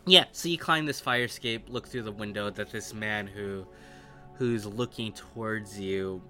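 A man with a bright, fairly deep voice narrates a tabletop roleplaying game. He takes his time, building scenes in halting phrases over soft background music. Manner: halting, piecing descriptions together phrase by phrase. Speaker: game master.

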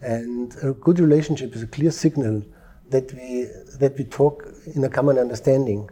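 A man from Europe, a former police negotiator, talking calmly and with measured pauses in an interview. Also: medium pitch, husky. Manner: calm, measured, with frequent restarts. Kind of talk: interview conversation. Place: Dubai.

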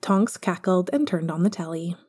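Mellow woman narrating fiction. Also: fairly low pitch, normal speed, breathy edge. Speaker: audiobook narrator.

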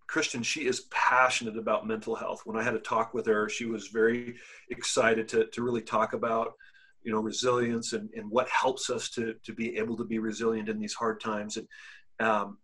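A man hosting a casual podcast interview, with a slightly husky, breathy voice at an ordinary pitch. He talks haltingly and often restarts his sentences as he sets up his questions. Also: fairly bright tone. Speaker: podcast host.

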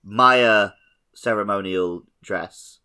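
A man's voice: on the low side, drawn-out words, pushed and bright, smooth. A man co-hosting a podcast, chatting casually about a video game. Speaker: podcast co-host.

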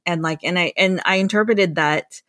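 A woman's voice: lowish voice, moderate pace, a bit bright, no echo, studio quality. A woman researcher who grew up in the United States, speaking thoughtfully in a conversational interview and often restarting her phrases. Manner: thoughtful, with frequent restarts and fillers. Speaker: guest researcher.

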